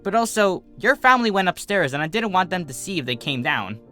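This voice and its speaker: A man's voice: high-pitched, sing-song, fairly quick, bright, forceful tone, faint music behind, pitched above the performer's own voice, full character voice. A man voicing a brash, defensive, teasing young female athlete pony with casual, slangy, huffy delivery.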